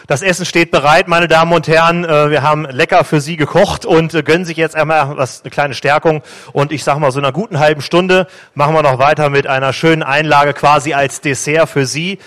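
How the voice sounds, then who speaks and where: A man's voice: fairly high pitch, a bit bright. A man hosting an event, making chatty announcements to the guests with hesitant fillers. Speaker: event host.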